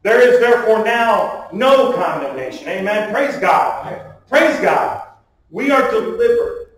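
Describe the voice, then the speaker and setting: A man's voice: sits high, lively intonation, unhurried. A man in his forties preaching a sermon from a pulpit, emphatic, often putting questions to the listeners. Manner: emphatic, with rhetorical questions and calls for amen. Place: small church sanctuary, at a wooden pulpit indoors. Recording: distant, very echoey room sound.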